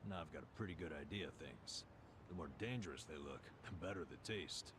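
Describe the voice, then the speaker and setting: A man's voice: rumbling low, expressive, a little fast, fairly bright tone. A man voicing a scruffy, good-humoured down-and-out forager in a video game, laid-back and matter-of-fact. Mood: wry, easygoing. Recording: game audio with clear background noise.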